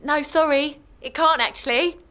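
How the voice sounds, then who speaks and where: A woman acting a tired, placating mother with quiet determination in a naturalistic audio drama. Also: sits high, moderate pace. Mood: resolved.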